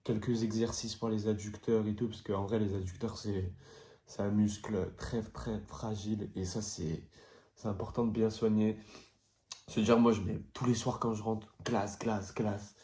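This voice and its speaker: A man's voice: fairly low pitch, little pitch movement, unhurried, mellow. A young man with a cold, rambling casually through a long explanation to his viewers. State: has a cold. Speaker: vlogger and player. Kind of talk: casual vlog chat. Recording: echoey room.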